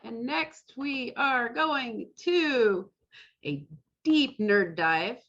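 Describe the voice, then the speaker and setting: A woman's voice: a little high, animated, extremely slow, a bit bright. A woman casually hosting a live online event over a video call, with slightly dull call audio. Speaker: host of an online costume parade. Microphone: computer mic on a video call.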